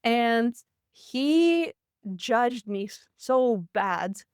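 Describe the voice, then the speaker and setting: A woman's voice: on the high side, animated, smooth, no room sound, clean studio sound. A woman in her late twenties from Latvia with a Russian accent, hosting a solo business podcast and telling her own story candidly, with frequent laughs. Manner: candid storytelling, quick and chatty, laughs often. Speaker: podcast host.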